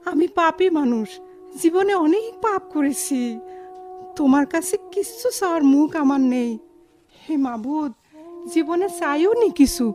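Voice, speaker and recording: A woman's voice: high-pitched, darkish tone. An actress playing an anxious, devoted village woman with a rural Bangladeshi accent in a radio drama, pleading and melodramatic. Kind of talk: Bengali radio drama acting.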